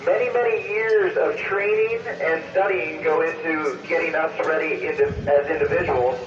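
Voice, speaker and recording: A man speaking as an astronaut over amateur radio, explaining things in an upbeat, slightly halting way. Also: fairly high pitch. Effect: radio transmission. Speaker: astronaut answering questions by radio. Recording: noisy, echoey, dull amateur radio link, distant.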